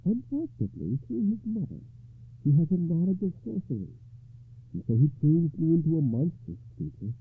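A man voicing a bold, knowing, monstrous lady under enchantment, speaking in a deliberate, stately way for a told legend. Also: on the high side, expressive, gravelly, husky, voice pushed up, full character voice. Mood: bitter, defiant. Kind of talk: storytelling character voice. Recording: muffled phone-like narrow-band sound.

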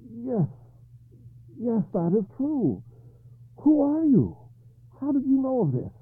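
A worried medieval king, his voice leaping high and swooping all over as he pleads and bargains, as a storyteller puts him on. The sound is dry and narrow, like a phone line. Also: big pitch swings, measured pace, slightly rough, dry recording, full character voice. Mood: stammering. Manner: pleading, rising to frantic. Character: anxious proud medieval king, adult man.

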